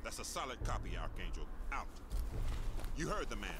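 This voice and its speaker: A gruff veteran American sergeant for a video game. His voice sits low and he gives blunt, clipped orders, with loud outdoor noise behind him. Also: big pitch swings, normal speed. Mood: stern, commanding. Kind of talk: video game cutscene acting.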